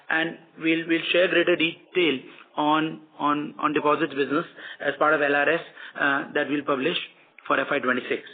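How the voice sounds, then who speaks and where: A man with an Indian accent presenting company results as an executive on an earnings call, formal and measured, with frequent hesitations. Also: a little high. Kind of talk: prepared earnings call commentary. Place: conference call. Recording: tinny phone-line conference call.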